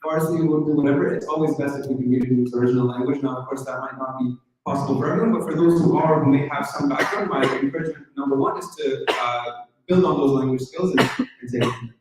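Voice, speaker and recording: Fast-talking lecturer in echoey hall. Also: male voice, medium pitch, a little mellow, distant mic, slight background noise. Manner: fast, organized, numbered points. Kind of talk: Q&A answer at a lecture.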